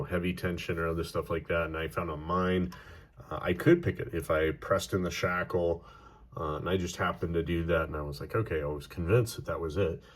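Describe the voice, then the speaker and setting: Man, middle-aged, very low voice, slightly hoarse, casual rambling tutorial host, lots of fillers. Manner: rambling, many fillers and self-corrections. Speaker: lock picking video host. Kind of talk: casual tutorial talk to camera.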